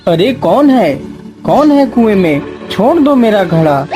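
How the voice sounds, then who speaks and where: A man voicing an alarmed young village shepherd in an animated Hindi moral story. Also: high-pitched, measured pace, over music, pitched above the performer's own voice.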